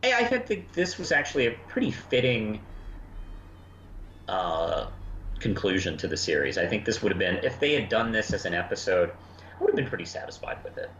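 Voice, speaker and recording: Male co-host, very low voice with lively swings, hesitant casual review chat, muffled recording. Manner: halting, hesitant, often restarting sentences. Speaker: podcast co-host reviewing cartoons. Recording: roomy, muffled, noisy home recording.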